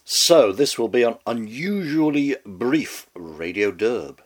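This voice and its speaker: A man's voice: mid-range voice, lively intonation, slow. A man hosting a spoken commentary show with a heavy head cold, close to losing his voice, reading a scripted monologue in a dry, wry, mannered way. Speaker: podcast host.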